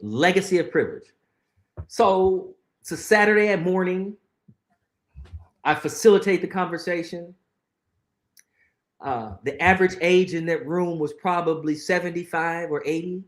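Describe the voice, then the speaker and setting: A man's voice: high voice, unhurried, pauses often, reverberant. A middle-aged man, a guest on an interview show, speaking earnestly and emphatically, often repeating phrases for weight. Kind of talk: conversational interview, reflective storytelling.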